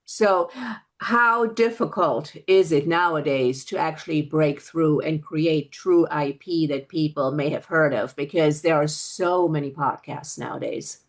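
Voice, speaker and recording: A woman's voice: low voice, unhurried. A woman interviewer putting questions to a guest in a conversational style. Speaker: interviewer, host.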